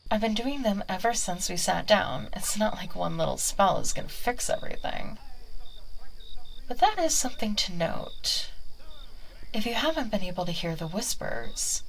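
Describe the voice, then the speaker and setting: A possessive witch, played by a woman, croons to her new familiar in a soft, sweetly condescending whisper. Her voice sits at a middling pitch with a gravelly, fry-laden edge. The audio roleplay is quiet and close. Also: animated, a little slow, a little hiss or hum, poor audio. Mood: sweetly menacing. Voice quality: frequent whispers. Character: doting, manipulative witch taming a new familiar.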